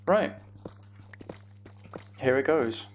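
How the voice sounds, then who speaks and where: A man's voice: mid-range voice, expressive, drawn-out words, a little hiss or hum. A man chatting casually as he livestreams a game, with self-deprecating, muttered asides to himself. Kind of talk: casual gaming livestream chat with commentary.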